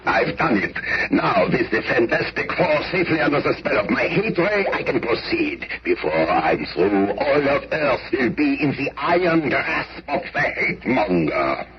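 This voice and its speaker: Gloating supervillain, grandiose, theatrical menace, swooping delivery, noisy old radio recording. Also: male voice, mid-range voice, very animated, measured pace, hardly any pauses, dull audio. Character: gloating megalomaniac supervillain with a hate ray. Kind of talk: 1970s superhero radio drama acting.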